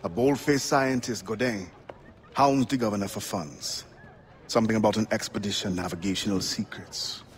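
An actor playing a steadfast pirate turned assassin with a Caribbean accent in a video game, speaking formally and with composure. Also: male voice, lowish voice, moderate pace, slight background noise, natural voice. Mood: curious. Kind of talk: dramatic video game acting.

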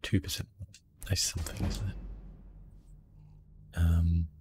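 A man giving soft, hushed ASMR gameplay commentary in a relaxed, unhurried manner. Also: low-pitched. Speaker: gaming streamer, host.

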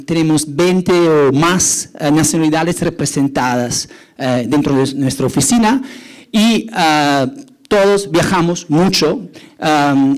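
A middle-aged Italian man with an Italian accent, giving a hesitant, explanatory conference talk from an auditorium stage. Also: fairly high pitch. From Italy. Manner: many fillers and restarts, explanatory. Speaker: conference speaker, urban designer.

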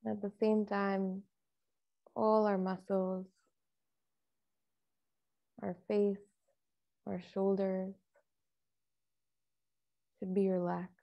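A woman leading a guided meditation as a teacher, speaking in a calm, measured, softly instructive way with long pauses. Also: medium pitch, creaky, dull audio.

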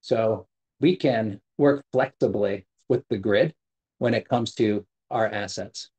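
A man's voice: fairly low pitch, takes it slowly, darkish tone, husky. A middle-aged man giving a prepared keynote over a video call, speaking earnestly with frequent fillers and self-corrections. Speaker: government sustainability official giving a keynote. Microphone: video call, webcam at desk.

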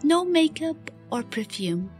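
A woman's voice: sits high, very animated, slow, darkish tone, faint music behind. A woman reading scripted story narration as the narrator of a fan radio play. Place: recorded voice-over with music behind.

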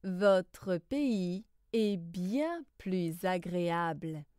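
A woman reading scripted lesson phrases slowly, in a deliberate, carefully enunciated way. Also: lowish voice, lively intonation, darkish tone, clear, resonant tone, dry recording, clean studio sound. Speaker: language lesson reader.

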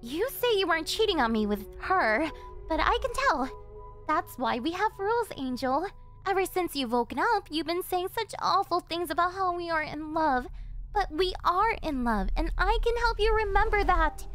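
Very high-voiced, possessive yandere girlfriend. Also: fairly bright tone, exaggerated voice. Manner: cloying, coaxing, with breathy giggles and gasps. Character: possessive, obsessive young yandere girlfriend, sweetly menacing captor. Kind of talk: ASMR roleplay monologue.

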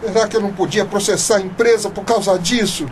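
A man's voice: sits high, steady tone, fast talker, lightly acted. An actor playing an aching, complaining eighty-year-old man in a Brazilian radio drama, with theatrical groans. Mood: weary, complaining. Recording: noisy background ambience.

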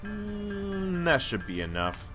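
A man casually narrating his own building game, talking to himself with wry asides and a slightly hoarse voice. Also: high voice, very animated, slow. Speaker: let's play host. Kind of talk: casual gameplay commentary. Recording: voice-over with loud game music behind.

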